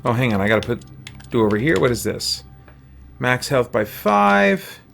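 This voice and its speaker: A man's voice: medium pitch, sing-song, normal speed, vocal fry, a bit bright. A man chatting casually as a game streamer, thoughtful and rambling, often hesitant as he comments. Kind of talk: casual livestream chat and gameplay commentary. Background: game music.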